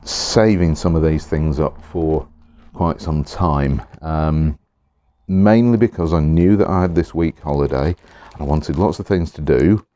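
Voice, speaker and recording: A middle-aged man, slightly hoarse, chatting casually as he unboxes pens at his desk, rambling with many pauses and restarts. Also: rumbling low, mellow, slight background noise. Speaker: pen collector vlogger. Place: indoors at a desk, overhead camera on hands.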